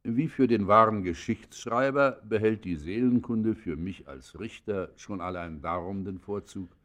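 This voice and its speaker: An older actor playing a venerable, humane old judge in a classic radio play, speaking in a grave, formal, philosophizing manner. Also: male voice, on the low side, unhurried, a bit bright. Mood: fervent, philosophical. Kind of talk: classic German radio play acting, literary and declaimed.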